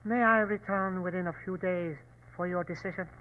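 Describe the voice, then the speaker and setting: A man's voice: high voice, steady tone, normal speed, close to an everyday voice. An actor playing an earnest, humble elderly Chinese man, formal and pleading, in old-time radio drama style. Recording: dull vintage radio recording.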